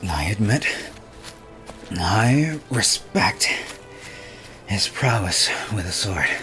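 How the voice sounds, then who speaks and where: A man's voice: on the low side, big pitch swings, drawn-out words, soft background music, full character voice. A man voicing a proud, skeptical male ninja master in a fantasy story, speaking curtly, sharply and grudgingly. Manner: curt, sharp, grudging, carefully worded.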